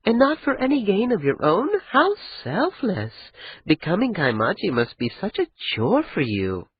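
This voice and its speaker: Male narrator doing a high, husky-voiced young noblewoman, bitter and cutting, dull lo-fi sound. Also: wildly swooping pitch, moderate pace, dry recording, heavily acted. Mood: sarcastic. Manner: high strained pleading, cutting sarcasm. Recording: dull lo-fi recording.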